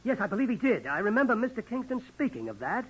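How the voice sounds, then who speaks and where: An actor playing a polite, hesitant witness in 1940s radio drama, stammering courteously in a husky voice. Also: male voice, sits high, big pitch swings, quick pace, a bit bright, exaggerated voice. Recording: dull lo-fi old radio recording.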